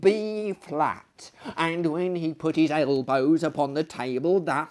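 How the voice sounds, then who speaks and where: Man as a high, excitable little bewigged gentleman. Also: slow pace. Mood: eager admiration. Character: very lean little man in a big wig, excitable.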